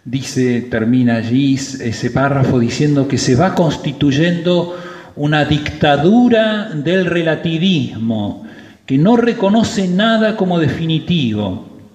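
A priest preaching a sermon at Mass, slow and emphatic, stressing key words. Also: male voice, a little high, expressive, a little mellow. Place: church. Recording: roomy church with long reverb.

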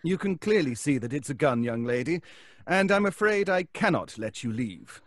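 Menacing, coldly polite lawyer. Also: male voice, fairly high pitch, big pitch swings, moderate pace, no room sound. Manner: formal, stiff, insistent, over-polite. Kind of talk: audio horror drama acting. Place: indoors, on a video call.

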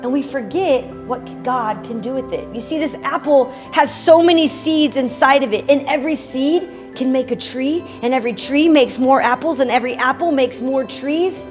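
A young woman giving a children's message in a bright, animated way, talking to kids in a living-room church set. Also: high-pitched, moderate pace, few pauses, soft background music. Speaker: children's pastor.

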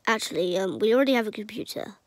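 A man voicing a low-key, puzzled puppet creature in a children's show parody, halting and understated. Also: animated, dry recording. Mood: hesitant, questioning.

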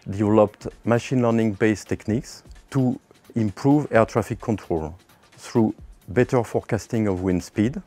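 Middle-aged male spokesman, fairly low voice, formal explanatory read with light music underneath. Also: a little mellow. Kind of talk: formal corporate video interview. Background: background music.

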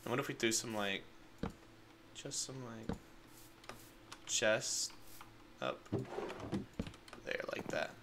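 A congested man chats his way through a gameplay session, rambling and talking to his viewers. His pitch swings in a lively way. Loud keyboard clicks and typing sit clearly behind his voice. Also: slow pace, long pauses, very noisy. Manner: rambling, chatty, addresses chat as guys. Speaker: gaming creator. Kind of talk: casual unedited gameplay commentary.

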